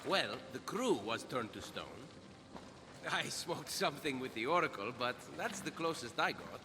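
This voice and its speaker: A man's voice: mid-range voice, sing-song, moderate pace, music in the background, natural voice. A man acting a cocky, charming young hero, a big brother with a self-assured, breezy manner, in a video game. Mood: teasing, cocky.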